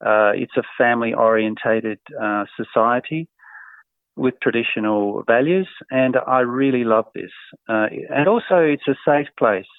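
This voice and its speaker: A middle-aged man from Australia answering interview questions in a casual, hesitant way, with many fillers and soft chuckles. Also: medium pitch, measured pace, no echo. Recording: tinny phone-like line, dry.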